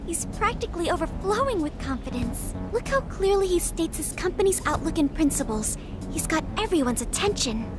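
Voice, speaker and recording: Impressionable teen girl for a game, very high, lively and expressive. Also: fairly quick, over music. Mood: impressed. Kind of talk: video game voice acting.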